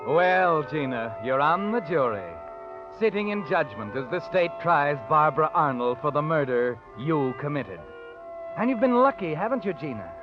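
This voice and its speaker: An actor playing a sardonic, all-knowing mystery narrator who addresses the guilty in an ominous, knowing way, as in 1940s radio suspense. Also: male voice, a little high, big pitch swings, moderate pace, music in the background. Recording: muffled old radio broadcast recording.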